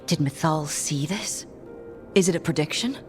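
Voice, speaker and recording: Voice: mid-range voice, normal speed, soft background music, natural voice. An actor playing a wry adventurer who leads a group of companions, speaking in a plain and down-to-earth way in a video game cutscene.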